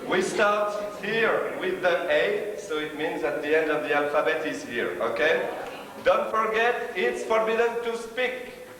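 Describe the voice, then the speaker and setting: A man acting as a workshop facilitator, giving a group instructions and checking that they follow. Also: high-pitched. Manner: slow, animated instructions with checks like "okay?". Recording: echoey hall from far off, crowd noise.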